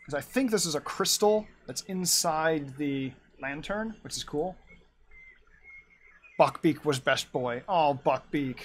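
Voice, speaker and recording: A man's voice: a little high, expressive, slow, slight background noise. A young man in his twenties chatting and rambling on a live art stream as he draws, laughing often. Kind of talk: casual chat while drawing.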